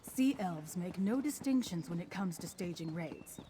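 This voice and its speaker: A woman's voice: lowish voice, expressive, moderate pace, noisy background, lightly acted. An actress playing a frank, stern noblewoman in a fantasy video game, speaking formally. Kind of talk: scripted video game dialogue.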